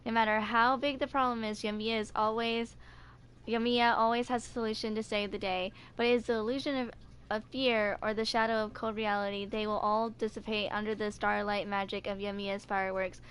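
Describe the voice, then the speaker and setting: A woman's voice: medium pitch, level tone, fairly quick, fairly bright tone, clear, resonant tone. A woman in her twenties reading and chatting casually as a video creator in a home recording. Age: 20s. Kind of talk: casual reading aloud and chat for a fan video. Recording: home recording with slight background noise.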